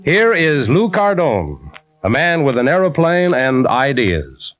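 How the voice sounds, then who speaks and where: An actor playing a genial adventurer who introduces tales deliberately and folksily in 1940s radio style. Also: male voice, medium pitch, wildly swooping pitch. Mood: inviting. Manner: deliberate, folksy, addresses listeners as friends. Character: genial adventurer storyteller introducing a weekly tale. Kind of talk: 1940s radio acting, hosting narration. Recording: dull old radio recording with music.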